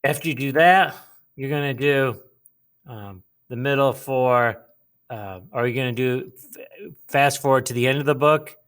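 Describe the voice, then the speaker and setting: A man teaching a lesson, explaining a writing task step by step, with pauses and repeated phrases. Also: medium pitch, a bit bright, clear, resonant tone. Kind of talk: instructional lesson, modelling a writing task aloud.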